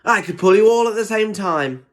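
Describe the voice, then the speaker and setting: A man's voice: sits high, animated, moderate pace, voice pushed up. A man voicing a rude, boastful male diesel engine in a children's storybook, snappy and full of himself. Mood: cross boasting.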